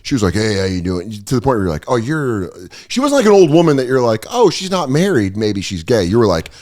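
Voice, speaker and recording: A male comedian hosting a podcast with an animated, swinging pitch. He riffs loosely and playfully. Now and then he slips into quick mocking impressions. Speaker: podcast host and stand-up comedian. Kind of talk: casual comedic podcast chat.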